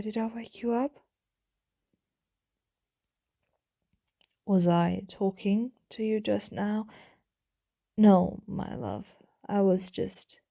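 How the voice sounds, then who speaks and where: A woman playing a tender, melancholy vampire lover in an intimate ASMR roleplay, speaking in a hushed, breathy, doting way. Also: mid-range voice. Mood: soothing, doting. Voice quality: breathy, whispery. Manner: slow, hushed, doting, wistful.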